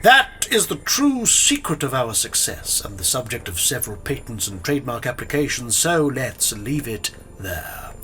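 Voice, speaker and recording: An actor playing a charming but slimy space resort boss in an audio drama, savouring his words like smooth sales patter. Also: mid-range voice, big pitch swings, normal speed, hardly any pauses, bright, forceful tone. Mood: smug, smooth.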